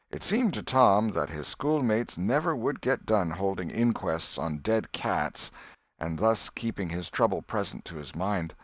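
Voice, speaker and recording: A man reading a storybook as an audiobook narrator, in a measured, expressive way. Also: deep voice, no room sound, studio quality.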